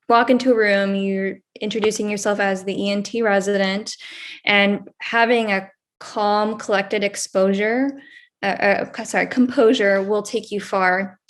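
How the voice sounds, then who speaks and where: A soft-spoken woman in surgical training speaks quietly and carefully on a panel. Her voice is mid-pitched and unremarkable, and she keeps a calm, measured pace. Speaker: second-year ENT resident. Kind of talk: panel discussion.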